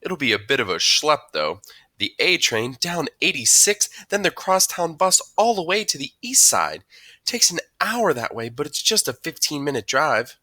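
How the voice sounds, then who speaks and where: A man voicing a gentle, concerned and affectionate mother in an audiobook, speaking in a tender, coaxing, emphatic way. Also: fairly high pitch, big pitch swings, normal speed, no room sound, voice pushed up, exaggerated voice. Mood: apologetic, chatty. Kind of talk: audiobook character dialogue.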